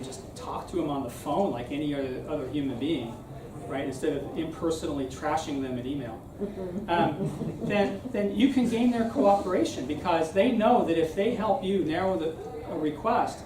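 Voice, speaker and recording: A man's voice: on the high side, normal speed. A man giving an informal public talk in a conversational, explanatory way, often checking in with "right?". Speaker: speaker giving a civic talk. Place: room at a live meeting. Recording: roomy, distant mic, clear background noise.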